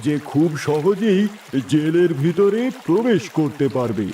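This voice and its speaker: A man's voice: a little high, very animated, measured pace, dark tone, a little hiss or hum. A man voicing a kindly elder-brother turtle in a fairy-tale cartoon, speaking in a reassuring, coaxing manner. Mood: helpful, assured. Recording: distant voice with music bed.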